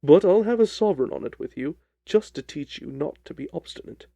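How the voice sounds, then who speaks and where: A man voicing a surly, cocksure, quick-tempered Victorian poultry salesman in a blustering, combative storybook performance. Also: fairly high pitch, expressive, gravelly, warm tone, slightly rough, no room sound, dull audio, heavily acted. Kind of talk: audiobook character voice.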